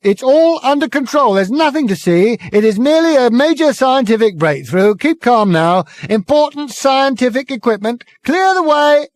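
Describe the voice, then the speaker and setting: A man's voice: very animated, moderate pace, few pauses, no echo. A man voicing a brash man who bellows urgent, commanding orders at a crowd in an audiobook. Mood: urgent, bossy. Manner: booming, urgent, commanding shouts.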